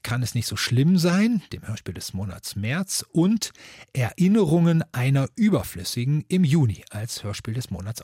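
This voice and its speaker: A man's voice: mid-range voice, a little mellow, slightly rough, no echo, studio quality. A man hosting a discussion with formal, scripted moderation and questions to guests. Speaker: host and moderator.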